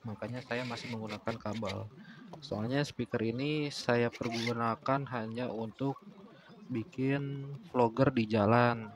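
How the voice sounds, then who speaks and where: A man's voice: mid-range voice, fairly even intonation, a little slow. A man narrating a casual DIY tutorial in an explanatory way, often repeating phrases. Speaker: DIY vlogger.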